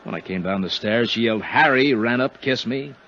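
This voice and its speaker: Sheepish halting hotel manager man, old radio play, dull sound with faint hiss. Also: medium pitch, pushed and bright. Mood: rueful. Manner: hesitant, halting, self-mocking. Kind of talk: 1950s radio drama acting. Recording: muffled, noisy 1950s radio recording.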